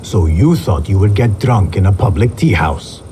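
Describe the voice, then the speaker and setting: An actor playing a calm, watchful young male assassin in a video game, speaking in an even, measured way. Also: lowish voice, a little hiss or hum, natural voice. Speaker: game protagonist.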